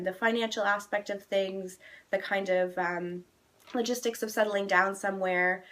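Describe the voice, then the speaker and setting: A woman's voice: medium pitch, fairly bright tone. A young woman from Canada with a Canadian English accent, chatting casually to camera in a friendly way with frequent ums. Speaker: vlogger.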